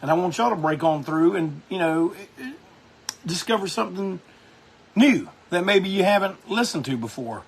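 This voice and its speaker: A man's voice: sits high, lively intonation, moderate pace, gravelly. A man hosting a music show, talking casually and enthusiastically about records, rambling with lots of restarts.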